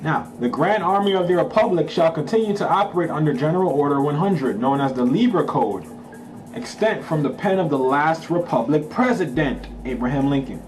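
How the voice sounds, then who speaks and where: A man's voice: on the high side, lively intonation, fairly quick, over music. A man from Haiti, a Creole speaker, reading a document aloud and breaking off to explain it in casual commentary, checking in with listeners. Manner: emphatic, checks in with listeners, slangy asides. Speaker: channel host.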